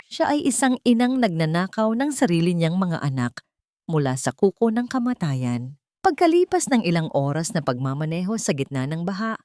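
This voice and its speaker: A woman's voice: lowish voice, darkish tone, no room sound, studio quality. Woman narrator with dramatic, swooping delivery. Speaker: audiobook narrator. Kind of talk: dramatic scripted storytelling.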